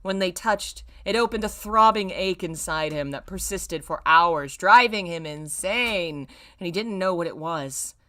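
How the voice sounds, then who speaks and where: Woman, slightly low register, YouTuber-style fan fiction reader, theatrical narration mixed with mocking, conversational commentary. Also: measured pace, few pauses, sharp tone. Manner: self-mocking, exclamatory in asides. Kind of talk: dramatic read-aloud with casual mocking asides.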